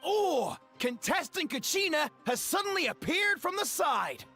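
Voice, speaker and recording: An actress playing an excitable, theatrical race announcer in a video game, building suspense like a sports commentator. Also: female voice, very high-pitched, a little slow, faint music behind, full character voice. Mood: dramatic surprise.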